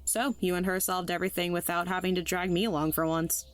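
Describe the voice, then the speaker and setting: A woman's voice: lowish voice, fairly even intonation, fast, no echo, lightly acted. An actress in an audio drama playing a blunt, sardonic woman with a casual, slangy way of talking. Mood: wry, skeptical. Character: Olivia, blunt, sardonic, slangy human friend.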